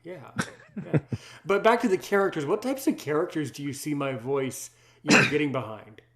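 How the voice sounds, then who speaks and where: A man's voice: a little high, normal speed, fairly bright tone, a little hiss or hum. A man hosting a casual interview, talking eagerly and often repeating words for emphasis. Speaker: interview host.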